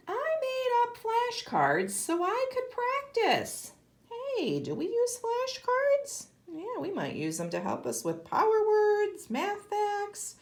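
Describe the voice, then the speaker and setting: Woman, kindergarten teacher, animated read-aloud, unhurried pace, child-directed questions, echoey room. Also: high voice. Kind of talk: read-aloud of a picture book with asides to children. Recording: roomy, echoey sound.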